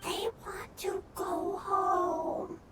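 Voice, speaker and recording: Little creature for a game: extremely high, drawn-out, simple clipped phrases, gentle, noisy background. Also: female voice, little pitch movement, full character voice. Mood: wistful. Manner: halting, clipped broken phrases. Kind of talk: scripted video game acting.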